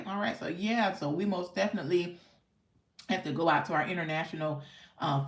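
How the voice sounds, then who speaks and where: An American woman hosting a casual livestream, chatting with viewers in an effusive, folksy way with repeated thanks and affirmations. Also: mid-range voice. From United States. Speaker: livestream host. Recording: echoey, roomy sound.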